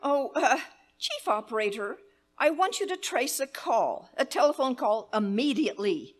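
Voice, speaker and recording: A woman's voice: sits high, very animated, moderate pace. A woman playing a demanding, frantic wealthy woman who scolds the telephone operators, in an imperious, fretful voice, in a live staging of an old-time radio play. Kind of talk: live radio play staged as 1930s radio acting.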